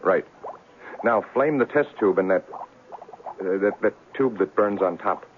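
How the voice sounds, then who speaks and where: An actor in a 1970s radio drama playing an earnest, discouraged young amateur genius, halting and melodramatic, repeating himself. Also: male voice, on the low side, natural voice. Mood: weary, discouraged. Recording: tinny, noisy old radio broadcast recording.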